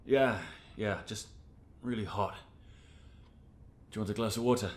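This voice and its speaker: A man's voice: fairly low pitch, fairly even intonation, moderate pace, natural voice. A man acting a restless, preoccupied husband in an audio drama, talking in an understated, muted way. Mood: tired, considerate.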